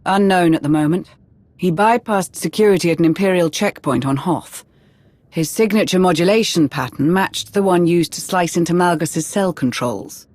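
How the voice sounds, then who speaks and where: A woman's voice: on the low side, normal speed, darkish tone, no echo, close to an everyday voice. Composed imperial officer played by a woman, dry sound. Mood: composed briefing. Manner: formal, composed, precise. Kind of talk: video game cutscene acting.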